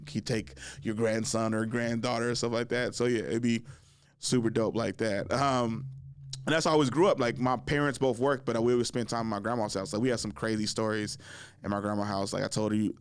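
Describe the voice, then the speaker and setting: A man in his thirties hosting a casual chat, telling stories in a joking, slangy way, often laughing and jumping high in pitch when excited. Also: smooth. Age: 30s. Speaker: podcast host.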